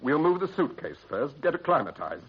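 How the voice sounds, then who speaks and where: An actor playing an anxious, guilt-ridden British husband in a period radio drama, speaking in a clipped, grave and troubled way. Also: male voice, fairly high pitch, sing-song, brisk, slight background noise. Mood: anxious, resolute. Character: anxious guilt-ridden husband hiding a body, adult man. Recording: dull, band-limited vintage radio recording.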